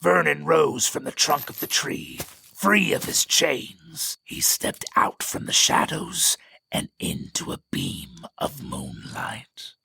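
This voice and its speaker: A man narrating a scripted story in a suspenseful, theatrical way, with a gravelly, breathy voice. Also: mid-range voice, wildly swooping pitch, slow pace, pushed and bright, a little hiss or hum.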